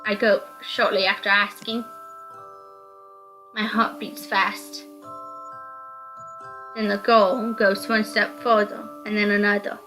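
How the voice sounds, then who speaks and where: A woman's voice: mid-range voice, level tone, takes it slowly, long pauses, sharp tone, soft background music, low-quality recording. A woman reading first-person story narration aloud from a visual novel.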